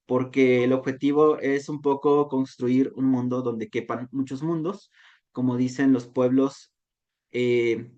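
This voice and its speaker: A man's voice: medium pitch, a little mellow, dull audio. A man from Mexico with a Mexican accent and Nahuatl as his first language, giving a reflective online lecture. He keeps tagging his sentences with "¿no?". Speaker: volunteer talk facilitator.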